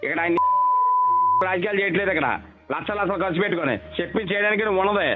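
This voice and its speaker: A man's voice: high-pitched, racing, loud music behind the voice. A man arguing heatedly on a phone call in an aggressive, repetitive, hectoring manner. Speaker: local political leader. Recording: tinny leaked phone call.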